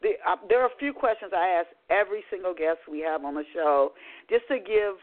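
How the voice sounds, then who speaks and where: A woman hosting book talk radio comes through a tinny, phone-like line. She talks in a chatty, animated way and often stumbles into restarts. Her delivery is upbeat and informal. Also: on the low side, a little fast. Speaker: radio show host.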